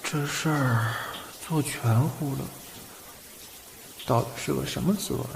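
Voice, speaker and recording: A man's voice: mid-range voice, unhurried, vocal fry, background noise. A man acting a bashful, cheeky young man who stammers and gets flustered with his older boyfriend in a Chinese audio drama. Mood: musing. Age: young adult. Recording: loud background music.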